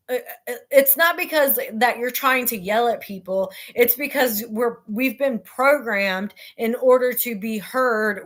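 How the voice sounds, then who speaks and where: A mother who hosts her own show, speaking earnestly in a naturally loud voice, with frequent pauses and fillers as she talks about her life and book. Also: fairly high pitch. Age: adult with children. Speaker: host.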